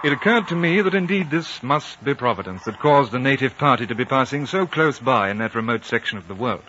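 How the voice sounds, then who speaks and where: A man's voice: mid-range voice, a little fast, natural voice. A man playing a composed, courteous British consul who leads and narrates a 1950s radio drama in articulate speech. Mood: grave, narrating. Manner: calm, articulate, reasoning. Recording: muffled noisy 1950 radio recording.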